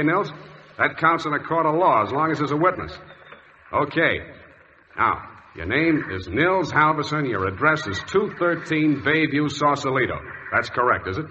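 An actor playing a shrewd, tough, sardonic detective in an old-time radio drama, with clipped, wry talk. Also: male voice, on the high side, pushed and bright. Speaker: lead actor and narrator. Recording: dull, faintly noisy old radio recording.

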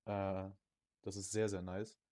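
A man's voice: deep voice, flat delivery, takes it slowly, warm tone. A young man chatting casually as a podcast host about video games, peppering his talk with youth slang and English gaming words. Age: young adult.

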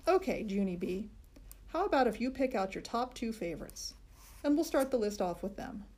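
Lady doing a calm dry teacher guy, patient, kinda wry, mellow tone. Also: a little high, moderate pace. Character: patient, wry male schoolteacher.

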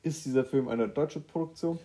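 A man's voice: fairly high pitch, moderate pace, mellow. A man co-hosting a guessing game in casual banter, with dry, mock-formal answers. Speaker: co-host playing a guessing game.

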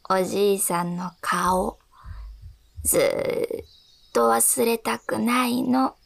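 A woman playing a gentle, loving elderly wife in a radio drama, speaking slowly and deliberately. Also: a little high, vocal fry, heavily acted. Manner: slow, soft-hearted, deliberate.

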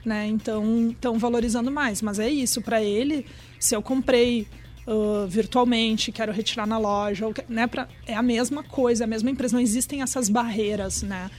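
A woman's voice: fairly high pitch, fairly even intonation, normal speed, a little mellow, soft background music. A middle-aged woman with a Brazilian accent, explaining things in a chatty, conversational way and often checking for agreement.

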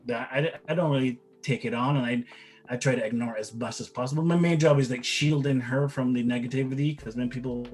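A man's voice: mid-range voice, steady tone, fast, a little mellow, soft background music. Rambling middle-aged man from Barbados. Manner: rambling, frequent restarts and repeated words. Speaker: guest, father. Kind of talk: casual interview chat.

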